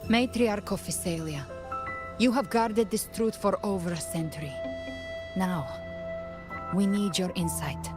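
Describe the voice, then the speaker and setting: A resolute, analytical woman from a video game, played by an actress. Her voice is very low and she explains things with serious composure. There is clear music in the background. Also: measured pace, long pauses, natural voice. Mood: grave, resolute. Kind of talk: dramatic video game voice acting.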